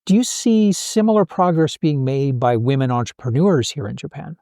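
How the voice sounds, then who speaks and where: A man's voice: on the high side, moderate pace, gravelly, mellow, no room sound, clean studio sound. A man hosting an interview podcast, asking questions in a casual way and thinking aloud with fillers. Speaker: podcast host. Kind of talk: interviewing a guest.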